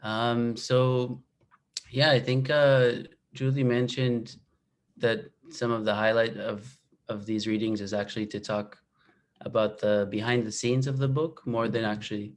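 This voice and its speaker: A man in his twenties from Israel, a native Hebrew speaker, talking about his book on a video call with many pauses and fillers. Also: lowish voice. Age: 20s. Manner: hesitant, many fillers and restarts. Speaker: guest author.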